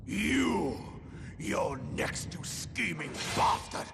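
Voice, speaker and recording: An actor playing a fierce, hot-tempered general in a fantasy game, blunt and intense, with a growling delivery. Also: male voice, high-pitched, big pitch swings, drawn-out words, vocal fry, noisy background, full character voice. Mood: vengeful rage. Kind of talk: dramatic fantasy game acting.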